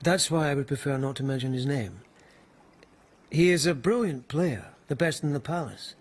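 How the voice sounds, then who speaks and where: An actor playing a weary but dogged police lieutenant in a radio period mystery, speaking hesitantly and with earnest respect. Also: male voice, fairly high pitch, normal speed, natural voice. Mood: deferential, uneasy.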